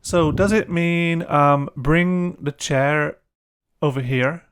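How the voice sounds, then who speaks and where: A man's voice: a little high, takes it slowly, fairly bright tone, smooth. A man hosting a casual discussion on a video call, friendly and chatty while playing the learner. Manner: friendly, chatty, light laughs. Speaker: host, language educator.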